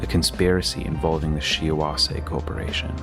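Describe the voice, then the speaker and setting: A man playing a brooding investigator who narrates his own case journal in a measured, deliberate scripted monologue. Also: extremely low pitch, level tone, over music.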